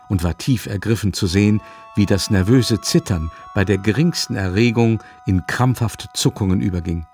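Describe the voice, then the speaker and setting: A man narrating a classic novel in a radio drama, measured and composed. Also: lowish voice, darkish tone, natural voice. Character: male literary narrator of a French novel.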